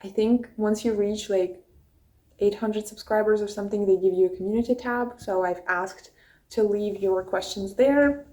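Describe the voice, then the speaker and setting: A woman's voice: mid-range voice, moderate pace, dark tone, low-quality recording. A young woman from Russia with a Russian accent, her first language Russian, chatting casually to her phone camera as she answers viewer questions from her notes. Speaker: YouTuber. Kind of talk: casual get-to-know-me chat.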